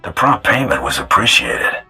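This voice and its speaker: A man's voice: fairly low pitch, sing-song, moderate pace, bright, forceful tone, faint music behind, full character voice. A man acting a cold, menacing bounty hunter in a video game, terse and blunt. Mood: cool, curt.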